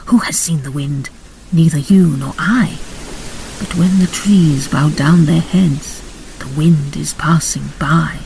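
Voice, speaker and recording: A woman giving a dramatic storybook narration, expressive and deliberately enunciated. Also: deep voice, creaky, rough recording. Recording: faint background noise.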